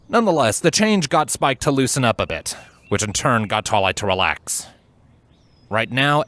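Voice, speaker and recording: A man reading a story aloud as narrator, in an expressive, measured, dramatic storytelling style. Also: fairly low pitch, no echo. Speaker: fan fiction narrator. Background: background music.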